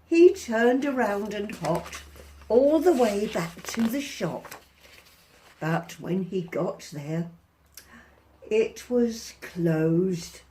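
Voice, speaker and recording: A woman reading a picture book aloud to children as a storyteller, in a theatrical, deliberate, sing-song way. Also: mid-range voice, lively intonation, warm tone, slight background noise. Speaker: volunteer storyteller.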